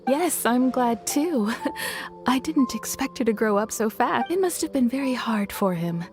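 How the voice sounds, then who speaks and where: A woman playing a gentle, gracious wife and mother in a video game, polite and soft-spoken. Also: fairly high pitch, lively intonation, unhurried, over music. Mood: wistful.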